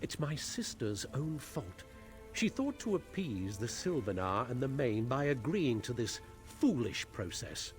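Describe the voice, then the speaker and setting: High elf prince, fantasy game, stern, haughty, formal, lively intonation, music behind. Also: male voice, measured pace. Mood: stern, disdainful. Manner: formal, haughty, clipped disdain. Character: stern, proud high elf prince, bitter yet dutiful. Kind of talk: fantasy video game dialogue.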